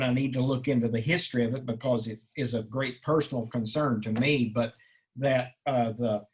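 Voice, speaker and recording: Man, medium pitch, informal commentary, halting, rambling, self-interrupting. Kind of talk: informal spoken commentary on a play reading.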